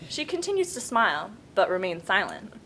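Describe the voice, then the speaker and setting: A woman's voice: mid-range voice, very animated, fairly quick. A woman reading scene headings and stage directions as the narrator at a script table read. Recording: table read with background noise.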